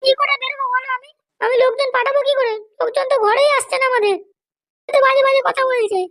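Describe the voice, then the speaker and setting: A woman's voice: very high-pitched, fairly even intonation, fast, fairly bright tone. A woman voicing a hot-tempered, foul-mouthed wife who is running for election in a comedy cartoon, with a scolding, threatening manner. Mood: fretful, complaining. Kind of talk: amateur comedy cartoon dialogue.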